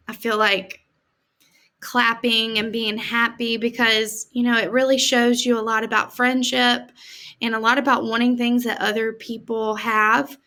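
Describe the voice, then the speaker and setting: A woman's voice: on the high side, sharp tone. An American woman reading a picture book aloud expressively, with dramatic emphasis and chatty, informal asides to her listeners. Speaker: read-aloud storyteller, teacher-like host.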